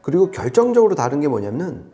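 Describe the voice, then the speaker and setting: A man's voice: on the high side, sing-song, fast talker, dark tone, lo-fi sound. A man explaining products conversationally as an audio reviewer, with an emphatic manner.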